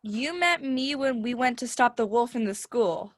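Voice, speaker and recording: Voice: medium pitch, lively intonation, normal speed, a bit bright, natural voice. A young performer playing a sassy, indignant girl in a fairy tale play.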